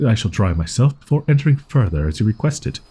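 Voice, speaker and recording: A man's voice: lowish voice, big pitch swings, fast, warm tone, husky, exaggerated voice. A man voicing a proud, easily flustered young wolf-boy in an audio roleplay, speaking in haughty, archaic, formal phrasing. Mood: puzzled curiosity.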